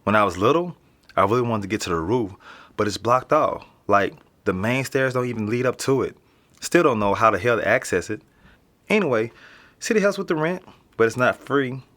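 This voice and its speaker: A man's voice: mid-range voice, expressive, a little fast, a bit bright, natural voice. A young man with an American accent playing a casual, slangy, streetwise teenage boy being interviewed in a naturalistic audio drama. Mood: offhand. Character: nonchalant streetwise teenage boy living in an apartment building.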